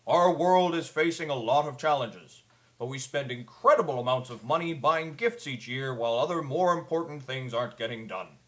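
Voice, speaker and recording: A man's voice: medium pitch, expressive, normal speed, lightly acted. A man playing a kindly, concerned old Santa Claus, giving an earnest, pleading scripted public address. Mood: concerned, grave. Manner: earnest, pleading storyteller delivery.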